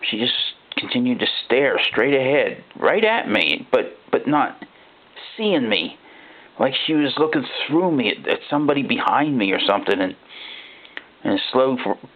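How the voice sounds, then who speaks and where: A man narrating a first-person horror story in a folksy, halting, conversational way, like an ordinary guy confiding. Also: mid-range voice, sing-song. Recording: thin phone-like band-limited sound.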